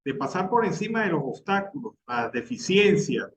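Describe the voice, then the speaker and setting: A man's voice: sits high, sing-song, normal speed. Emphatic Venezuelan man hosting radio news. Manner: emphatic, indignant, building lists. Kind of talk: opinionated news commentary. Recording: distant mic with clear background noise.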